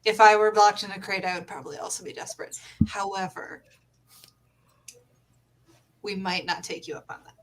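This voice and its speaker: A woman's voice: mid-range voice, steady tone, normal speed, long pauses, a bit bright, a little hiss or hum, low-quality recording. A woman playing a tabletop role-playing game, chatting casually as she describes what her character does.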